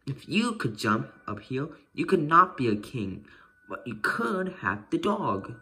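A man's voice: medium pitch, very animated, unhurried, bright, forceful tone, husky, exaggerated voice. A man voicing a grand, amused, fair-minded king in a children's storybook. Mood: amused, boastful.

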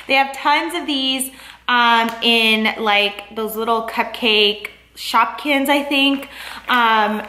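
Young female vlogger, chatty and rambling, fairly high voice, roomy camera-mic sound. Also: pushed and bright, a little hiss or hum. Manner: bubbly, rambling, lots of "like" and "um". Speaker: lifestyle vlogger. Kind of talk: casual chatty vlog. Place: store aisles, then a home living room.

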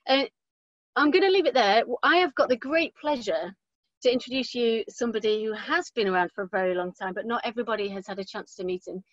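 Casting: middle-aged woman host, informal and halting, dry but muffled video-call sound. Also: fairly high pitch, no echo. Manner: halting, self-correcting. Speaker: host introducing a speaker. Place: small indoor room, video call. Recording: dull, lo-fi video call audio.

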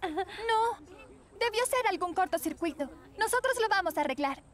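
A woman's voice: very high voice, animated, moderate pace, very noisy. A woman voicing an upbeat, good-humored teenage girl. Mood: worried. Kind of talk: Spanish-language cartoon dubbing. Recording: music behind the voice.

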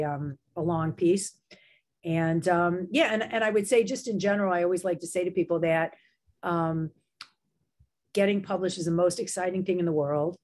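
A middle-aged woman speaks in a fairly low voice, her throat slightly sore. She chats eagerly and loosely, full of fillers and restarts, the way a professor sounds on a video call. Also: darkish tone. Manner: chatty, enthusiastic, lots of fillers and restarts. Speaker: guest author and writing professor. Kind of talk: casual video-call interview.

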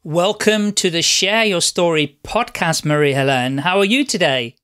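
A woman's voice: on the low side, normal speed. A woman from the UK hosting a podcast, friendly and encouraging as she interviews her guest. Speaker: podcast host.

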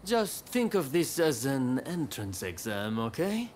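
An actor playing a harried samurai recruiter in a video game, polite and formal but weary and exasperated. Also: male voice, mid-range voice, big pitch swings, takes it slowly, darkish tone, a little hiss or hum. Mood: weary, firm. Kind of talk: video game cutscene acting.

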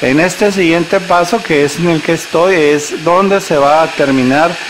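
A man's voice: fairly high pitch, a little slow, noisy background. A man hosting a cooking show, chatting casually and rambling, often correcting himself as he talks to the viewer like a friend.

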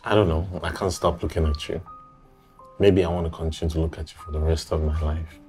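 Deep-voiced smooth-talking male lover. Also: fairly even intonation, a little fast, dark tone, faint music behind. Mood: flirtatious. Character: smooth-talking male lover, evasive and defensive when cornered. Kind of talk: film drama acting.

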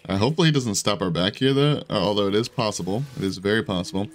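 A man with a lowish, hoarse and scratchy voice talks through his own match in casual, rambling commentary. He trails off, restarts and fills gaps with loose filler as he plays. A faint hiss sits under the voice. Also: very fast. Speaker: gaming video creator. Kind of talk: live gameplay commentary.

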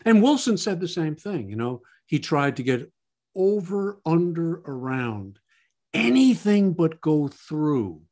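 A man's voice: fairly high pitch, sing-song, slow, warm tone. A man co-hosting a podcast discussion, emphatic and given to repeating key phrases. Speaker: podcast co-host.

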